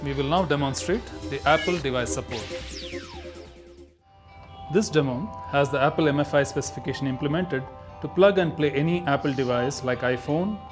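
A man's voice: on the high side, moderate pace, over music. A man reading scripted narration for a product demonstration.

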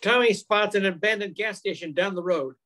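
High-pitched older male radio narrator. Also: brisk, pushed and bright. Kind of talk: scripted old-time radio drama narration. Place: home room, video call. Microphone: computer mic on a video call.

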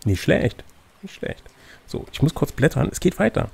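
Male game master, gravelly and slightly rough, casual storytelling with teasing asides. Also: a little high, big pitch swings, moderate pace, darkish tone. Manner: teasing, playful. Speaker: game master and reader of an adventure gamebook. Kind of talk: reading a gamebook aloud with casual banter.

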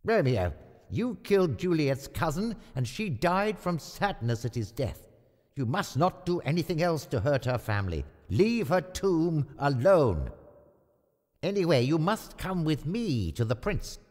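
A man's voice: a little high, big pitch swings, unhurried, a little mellow, no echo, clean studio sound. An actor playing a stern, grieving nobleman in a formal, declamatory style for a dramatized classic. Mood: accusing, commanding. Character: stern, grieving authoritative Italian nobleman of old Verona. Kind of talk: dramatized illustrated-classic audio play.

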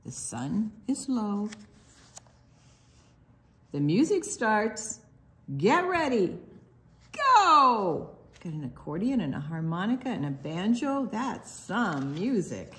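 An older woman reads a picture book aloud for young children. Her pace is extremely slow and deliberate, and her pitch swings widely in a sing-song storytime delivery. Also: very animated, a bit bright. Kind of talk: expressive children's read-aloud with asides.

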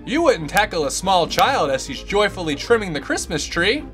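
A man's voice: high-pitched, very animated, normal speed, fairly bright tone. A man playing a prim, mock-polite narrator of an old-time instructional film parody, scolding in a sing-song way. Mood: prim, admonishing. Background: music.

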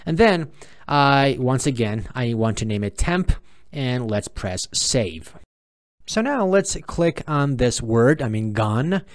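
A man presenting an unscripted software tutorial, explaining step by step, often repeating and correcting himself. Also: mid-range voice, sing-song, slow pace.

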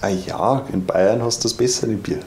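A man's voice: fairly low pitch, fairly even intonation, normal speed, a little mellow, roomy sound. A young man with a Bavarian accent, chatting casually. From Bavaria, Germany. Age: young adult. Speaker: newspaper reporter as guest. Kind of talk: casual chat. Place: indoor green-screen studio.

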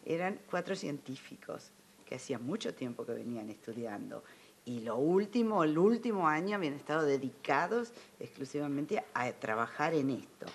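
A woman's voice: low-pitched, big pitch swings, normal speed, slight background noise. Older Argentine female guest, conversational, recalling memories in a television studio. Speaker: interview guest. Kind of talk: conversational reminiscing.

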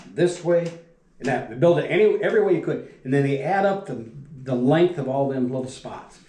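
Older man, retired welder, folksy rambling storytelling, mid-pitched voice, trailing off softly, dining room, echoey room sound. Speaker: retired factory welder telling stories. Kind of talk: casual storytelling. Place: dining room at a wooden table. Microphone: camera across the table.

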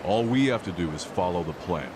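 An actor playing a blunt, nagging, loyal gangland younger brother in a dramatic video game cutscene. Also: male voice, low voice, lively intonation, fairly quick, background noise. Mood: stern, pressing.

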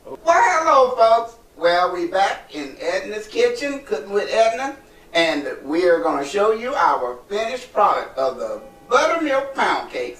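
A man's voice: high-pitched, fairly bright tone, rough recording. A man in his fifties, a guest cook chatting in a home kitchen in a folksy, effusive way. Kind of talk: casual cooking show chat. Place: home kitchen and dining room.

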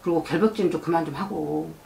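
A middle-aged woman speaks as a shaman fortune teller across a small indoor shrine office, in a lowish voice that is picked up from across the room with a faint hum of room noise behind it. She gives blunt advice and scolds in a motherly way, and she keeps checking that her client has understood. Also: quick pace, creaky, warm tone, low-quality recording. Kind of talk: fortune-telling consultation.

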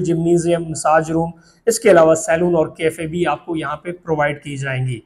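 Young man, Pakistani Urdu accent, high-pitched voice, explains real estate deals to camera, office, lapel mic. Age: young adult. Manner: steady explanatory sales pitch, addresses viewers directly. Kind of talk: sales presentation to camera. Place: office with shelves, indoors.

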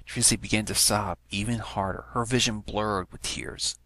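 A man's voice: fairly low pitch, steady tone, moderate pace, bright, forceful tone, no echo. A man narrating a written story, reading it aloud as scripted prose.

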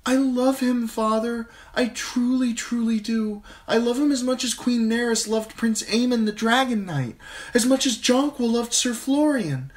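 A man voicing a lovestruck, headstrong young noble girl in an audiobook, high and breathless. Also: unhurried. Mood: adoring. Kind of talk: audiobook character voice.

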